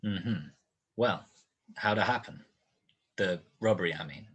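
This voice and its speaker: A man's voice: deep voice, unhurried, a bit bright, breathy edge, exaggerated voice. A man playing a dry, world-weary private detective in a radio drama, questioning in a laconic, clipped way. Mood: dry, probing.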